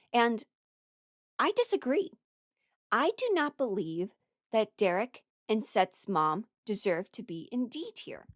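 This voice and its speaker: A woman giving casual, rambling opinion commentary as a host, candid and quick to soften her blunt takes with apologies. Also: mid-range voice, dry recording, clean studio sound. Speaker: host ranking audio drama characters.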